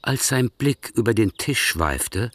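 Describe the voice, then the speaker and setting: A man narrating a radio drama in calm, even scripted storytelling. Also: mid-range voice, slow.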